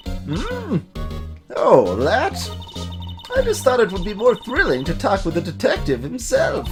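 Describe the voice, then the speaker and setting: Man putting on a fussy, high-pitched old security lady. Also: wildly swooping pitch, measured pace, music in the background, full character voice. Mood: chatty, fussy. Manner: fussy, rambling. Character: chatty, self-satisfied elderly female security guard.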